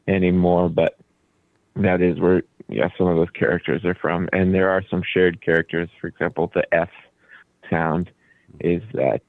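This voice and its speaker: A man whose first language is Croatian talks easily as a radio guest, rambling through long explanations with plenty of fillers. His voice sits very low. The sound is dry and narrow, like a phone line. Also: no echo. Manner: relaxed, explains at length with fillers. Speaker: band leader and studio guest. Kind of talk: casual radio interview.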